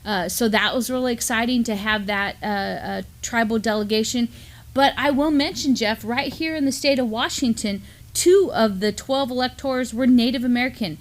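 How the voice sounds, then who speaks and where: A woman's voice: on the high side, moderate pace. A middle-aged woman co-hosting a studio news talk show, speaking earnestly with frequent fillers. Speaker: co-host, university teacher. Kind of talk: news discussion. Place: studio with mottled backdrop.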